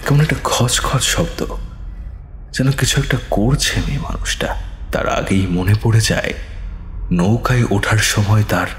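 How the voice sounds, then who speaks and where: A man narrating a scripted ghost story in a slow, suspenseful manner. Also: on the low side, reverberant, slight background noise.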